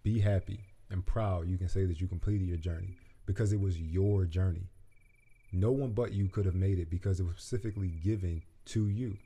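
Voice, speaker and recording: Brief: soft-spoken motherly ladybug, put on by a male reader, gentle and caring, dark mellow tone. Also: fairly low pitch, big pitch swings, fairly quick, creaky, slightly rough, heavily acted. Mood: tender wise. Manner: tender, affectionate.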